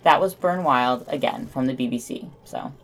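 A woman's voice: a bass voice, moderate pace. A woman hosting a podcast, reading scripted news items and reviews with her own commentary.

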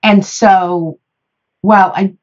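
An American woman in a reflective podcast discussion, speaking thoughtfully and haltingly with pauses between phrases. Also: mid-range voice, steady tone, highs cut off.

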